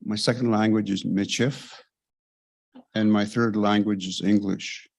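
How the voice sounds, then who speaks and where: Older man, native cree speaker, slow and measured, low voice, podium mic on a stage. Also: steady tone, vocal fry. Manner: slow, measured, pausing often. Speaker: elder giving an opening prayer. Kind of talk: slow spoken prayer and reflection. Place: large lecture hall stage. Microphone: podium microphone.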